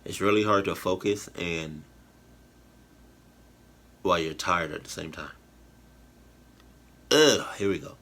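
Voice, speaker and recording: A tired man talks to his viewers at an unhurried, drawn-out pace. His voice sits a little low, and his casual reaction commentary wanders through slang, fillers and restarts. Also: a bit bright. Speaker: YouTube reactor. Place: at home, indoors.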